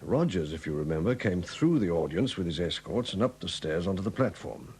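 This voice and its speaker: A man's voice: sits low, sing-song, brisk, darkish tone, slightly rough, full character voice. A man acting a harried, frank and courteous chief constable in a 1970s radio drama. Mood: grave, businesslike. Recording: noisy 1970s radio broadcast recording.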